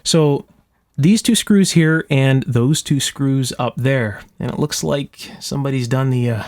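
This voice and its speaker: A man's voice: medium pitch, unhurried, darkish tone, dry recording, studio quality. A man hosting a hands-on restoration demonstration in a workshop, thinking aloud in a casual, methodical, step-by-step way. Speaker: host of an electronics restoration channel. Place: indoor electronics workshop.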